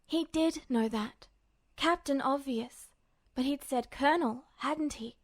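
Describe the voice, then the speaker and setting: A woman reading scripted fiction aloud as an expressive audiobook-style narrator. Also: high voice, a little slow, dry recording, clean studio sound.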